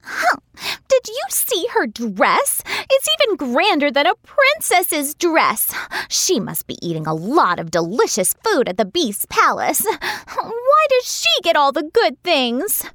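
Storybook wicked sister: very high, piercingly bright, whiny, greedy and scheming. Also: female voice, sing-song, takes it slowly, dry recording, clean studio sound, full character voice. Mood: jealous, whiny. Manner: whiny, exaggerated. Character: jealous, greedy, scheming young elder sister. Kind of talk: children's fairy tale audio drama.

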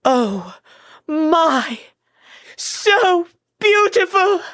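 A woman playing a boyish, stubborn young king in a children's story, with a whiny, drawn-out delivery. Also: extremely high pitch, sing-song, a bit bright, no echo, clean studio sound, exaggerated voice. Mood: lovestruck. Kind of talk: children's audio story acting.

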